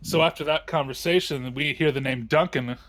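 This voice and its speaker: A man's voice: a little high, a little fast, fairly bright tone. A man playing a tabletop game, talking casually and searching for his words as he explains his character. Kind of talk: casual roleplay table talk.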